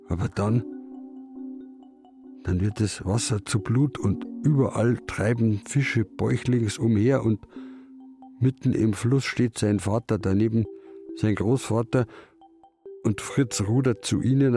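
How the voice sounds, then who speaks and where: An actor playing a stubborn, brooding old fisherman, telling his story in a radio drama. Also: lowish voice. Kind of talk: literary radio drama narration in third person.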